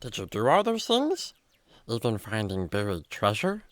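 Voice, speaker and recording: A man playing a naive, chatty, polite animal friend in a children's radio drama, with a halting, wordy way of talking. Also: on the low side, huge pitch swings, dry recording. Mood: surprised. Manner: hesitant, wordy, comically halting.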